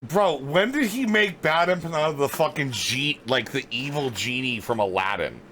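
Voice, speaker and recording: A man's voice: mid-range voice, expressive, normal speed, bright, forceful tone, slight background noise. A man streaming a casual reaction to a video, sarcastic and swearing as he quips at it.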